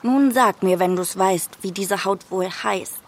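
A woman's voice: mid-range voice, measured pace. A woman playing a whiny, impatient young fairy-tale maiden in a children's radio play, pouty and theatrical. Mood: reciting.

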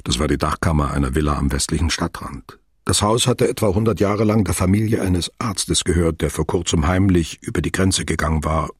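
Narrator for literary radio drama: deep, heavily husky, sober and observant, detached storytelling. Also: male voice, brisk, no room sound, clean studio sound. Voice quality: very husky, low.

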